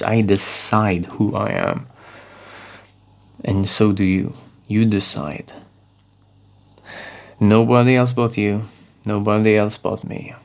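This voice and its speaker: Swedish man, 30s, low gravelly voice, earnest self-help vlogger who restarts his phrases. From Sweden. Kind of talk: speaking to camera, coaching.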